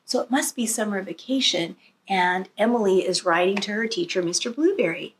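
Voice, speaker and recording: A woman reading a storybook aloud to young children as a teacher, pausing to muse and explain simply. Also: medium pitch, animated, a little hiss or hum. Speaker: kindergarten read-aloud teacher.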